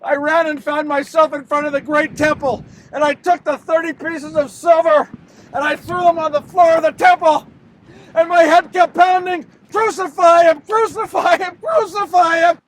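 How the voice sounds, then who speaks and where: A man performs a damned, remorseful male betrayer in a biblical stage monologue. His voice is strikingly high for a man. He speaks with theatrical intensity and weighted pauses, tormented by his own guilt. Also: flat delivery, poor audio. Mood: agitated, desperate. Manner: impassioned, dramatic pauses, swings from hushed to shouting. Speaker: actor performing a dramatic monologue.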